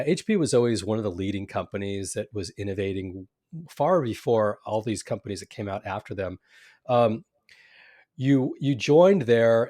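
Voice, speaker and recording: A man's voice: lowish voice, darkish tone, no room sound, clean studio sound. A man who grew up in California, hosting a podcast interview with a read-out intro and loosely phrased friendly questions. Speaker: podcast host.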